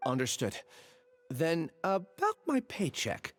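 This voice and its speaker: Meek young assistant, lively intonation. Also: male voice, fairly high pitch, slow pace, soft background music, heavily acted. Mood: apologetic. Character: apologetic, troubled young male assistant, polite, meek. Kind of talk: video game dialogue.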